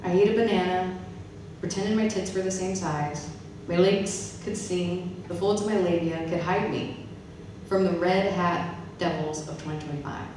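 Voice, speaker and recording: A very echoey, noisy recording of a woman at a live poetry reading. Her voice is on the low side and comes from some distance off the mic, in the manner of a spoken-word poet. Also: steady tone, normal speed, a little mellow. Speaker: poet and English professor reading her own poems. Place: reverberant event room with an audience.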